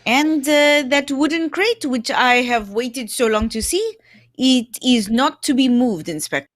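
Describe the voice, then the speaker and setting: A woman's voice: high-pitched, expressive, measured pace, no room sound. A woman playing a shrewd, courteous male detective in an old-time radio mystery, explaining things theatrically. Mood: courteous, assured.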